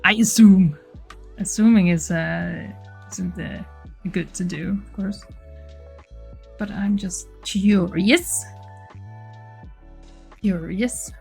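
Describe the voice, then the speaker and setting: Dutch-accented woman live streamer, unhurried chatty delivery, faint music bed. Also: mid-range voice, creaky, a little mellow. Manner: chatty, reads chat aloud, frequent laughs and restarts. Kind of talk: casual livestream chat while working.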